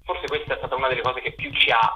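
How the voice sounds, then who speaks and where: A man's voice: mid-range voice, very fast, pushed and bright, rough recording. A young man answering interview questions as a theatre director, in an earnest, wordy and articulate way. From Italy.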